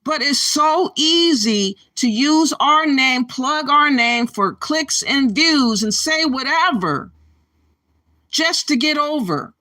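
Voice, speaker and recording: A woman's voice: high voice, expressive, takes it slowly, sharp tone, dry recording, clean studio sound. A woman hosting a reaction commentary, ranting indignantly and calling her viewers "y'all.". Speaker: commentary channel host.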